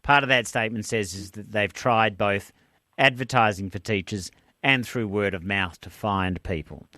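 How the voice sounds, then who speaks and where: A man hosting a talkback radio show, chatting conversationally and jumping in with quick questions. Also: on the low side, bright, forceful tone, dry recording, studio quality. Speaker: radio host.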